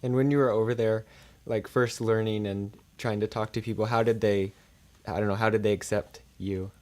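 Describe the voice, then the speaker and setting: A man's voice: on the low side, fairly even intonation, normal speed, darkish tone. A man with an American accent co-hosting a casual podcast, asking questions in informal, tentative phrasing. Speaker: podcast co-host.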